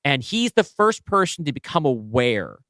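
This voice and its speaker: A man co-hosting a podcast, brainstorming casually and thinking aloud in halting, self-correcting bursts. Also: a little high, sing-song, fairly bright tone, dry recording, studio quality. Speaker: podcast co-host and writer.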